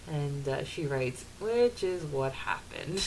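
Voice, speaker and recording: A woman with a slightly low voice co-hosts a podcast about a book. She talks casually and giggly, moving between chat and read-out quotes, with some hiss on the recording. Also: lively intonation, slow, poor audio. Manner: giggly, often laughing. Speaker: podcast co-host. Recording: hissy background noise.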